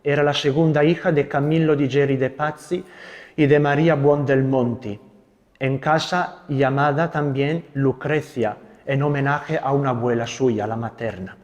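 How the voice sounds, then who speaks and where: A young man from Italy, a Carmelite friar with an Italian accent, giving a gentle spiritual talk and leading prayers. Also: medium pitch, steady tone, in a large hall, highs cut off. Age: young adult. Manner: gentle, unhurried, adds tag questions.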